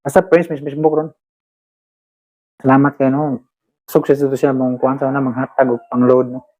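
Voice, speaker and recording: A man's voice: medium pitch, flat delivery, a little fast, a little mellow, poor audio. A man with a Cebuano Filipino accent chatting casually to his listeners as host, rambling and hesitant, often repeating himself. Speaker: host and drama uploader. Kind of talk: casual chat to listeners, shout-outs.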